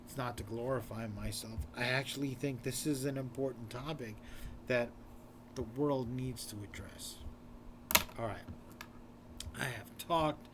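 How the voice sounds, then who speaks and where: A hoarse man, an author speaking to his viewers in an earnest, repetitive, urging way. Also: medium pitch, steady tone, a little slow, pauses often, noisy background. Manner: urgent, repetitive, exhorting the viewer. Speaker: author presenting his book in a video series. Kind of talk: earnest direct-to-camera talk.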